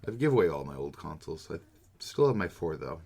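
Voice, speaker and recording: A man's voice: extremely low pitch, very animated, fast talker, a little mellow, breathy edge. A man co-hosting a podcast, chatting casually and answering in dry, short replies. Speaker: podcast co-host. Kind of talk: casual chat.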